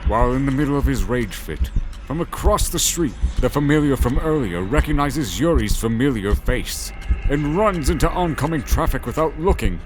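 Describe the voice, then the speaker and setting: A man's voice: mid-range voice, animated, moderate pace, a little hiss or hum, poor audio. A man reading scripted, dramatic story narration as the narrator. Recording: prominent music under narration. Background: music.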